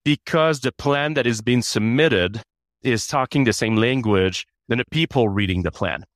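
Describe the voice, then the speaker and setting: A man's voice: medium pitch, moderate pace, no echo, studio quality. Earnest man. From Canada. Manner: earnest, repeats words as he restarts. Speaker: guest, chief people officer. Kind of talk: business interview.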